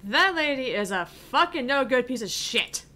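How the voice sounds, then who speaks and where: A woman's voice: high-pitched, big pitch swings, moderate pace, pushed and bright, exaggerated voice. A woman voicing a brash, fiery young female gamer with a theatrical, foul-mouthed manner, reading out a visual novel game. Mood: furious, indignant.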